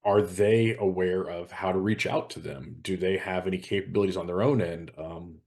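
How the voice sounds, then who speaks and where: A man's voice: low-pitched, fairly even intonation, normal speed, a little mellow. A man talking as a show host in a casual professional discussion, explaining and giving practical advice. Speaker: hazmat consultant and show host.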